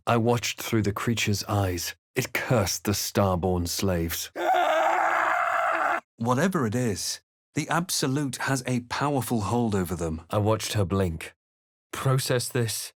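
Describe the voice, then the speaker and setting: A man voicing a brooding fantasy adventurer in a video game, speaking in a measured, earnest, theatrical way. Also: lowish voice, no room sound, clean studio sound. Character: brooding male fantasy adventurer hero, narrating his visions and deeds. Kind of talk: dramatic video game voice acting.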